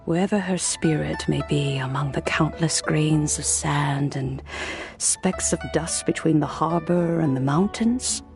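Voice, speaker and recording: Low, slow, wise old lady. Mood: wistful. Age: older. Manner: measured, reflective, gently self-deprecating. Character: wise, gentle elderly woman, an immortal, wistful and modest. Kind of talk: video game character acting. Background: faint music.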